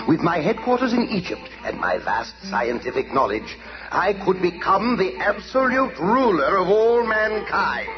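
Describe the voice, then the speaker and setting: A grandiose time-traveling tyrant who rules as a pharaoh, boasting in sweeping, showy swings of pitch. His voice is gravelly and a bit high. The old cartoon recording is dull, with music underneath. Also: full character voice. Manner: pompous, boastful monologue. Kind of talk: 1960s cartoon voice acting.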